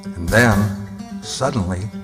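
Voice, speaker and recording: A man's voice: fairly low pitch, slow pace. A man narrating a radio drama as a scripted storyteller and announcer. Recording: long reverb, guitar music behind.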